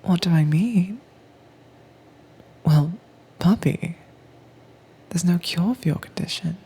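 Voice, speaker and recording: A woman performing a whispered roleplay as a calm, commanding agent who keeps a werewolf caged, speaking in a breathy, teasing manner close to the mic. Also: on the low side. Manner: cool, teasing, unhurried. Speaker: audio drama voice actress. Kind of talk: soft-spoken whispered roleplay audio.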